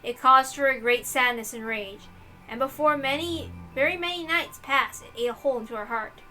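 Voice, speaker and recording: Female narrator for a dramatic visual novel read-through, high-pitched and bright, slight noise on the recording. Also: moderate pace, faint music behind, rough recording.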